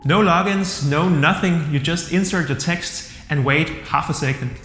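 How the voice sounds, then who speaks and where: A man's voice: on the high side, normal speed. Danish-accented man in a roomy hall. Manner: upbeat and enthusiastic, plain-spoken. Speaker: software reviewer. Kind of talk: casual review to camera. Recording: roomy hall-like echo with soft music.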